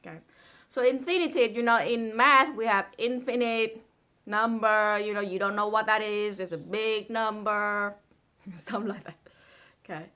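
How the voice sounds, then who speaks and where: A woman's voice: mid-range voice, fairly even intonation, normal speed, sharp tone. A woman with a Vietnamese accent, a native Vietnamese speaker, casually reading a poem with playful, chatty asides. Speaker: presenter reading a translated poem.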